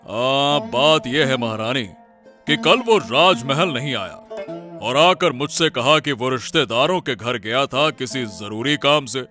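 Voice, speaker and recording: Man acting a slow, brooding cartoon king. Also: medium pitch, lively intonation, pushed and bright, soft background music. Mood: worried. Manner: measured, stately. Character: troubled, suspicious king, brooding and deliberate. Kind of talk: Hindi cartoon story dialogue.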